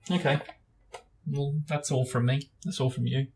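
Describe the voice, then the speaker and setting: A man's voice: medium pitch, level tone, moderate pace, background noise. A man hosting a book discussion, reading aloud and chatting casually in informal, loosely phrased speech. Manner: hesitant, informal phrasing with frequent "like" and "sort of". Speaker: book discussion host and reader.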